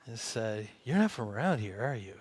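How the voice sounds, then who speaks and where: A man with a Midwestern American accent preaching an informal sermon as a pastor, haltingly rephrasing himself and posing questions to listeners. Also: lowish voice, sing-song, slightly rough. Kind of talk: conversational expository sermon.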